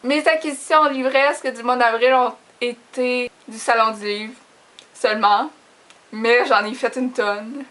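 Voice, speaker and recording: High-voiced young Québécoise vlogger. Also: female voice, fairly even intonation, a little slow, a bit bright. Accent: Quebec French. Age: young adult. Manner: informal, giggly, self-deprecating. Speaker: book vlogger. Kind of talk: casual chat to camera.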